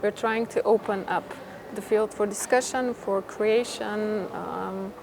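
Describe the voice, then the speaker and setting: A woman's voice: mid-range voice, steady tone, slow. A woman who works in theatre, explaining her views in an interview. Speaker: theatre worker being interviewed. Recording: clearly noisy ambient background.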